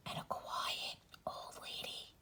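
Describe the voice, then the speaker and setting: A woman reading a bedtime picture book aloud for young children as a host, with deliberate phrasing. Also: bright, forceful tone. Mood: whispered. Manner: slow, deliberate phrasing for small children, drops into whispers.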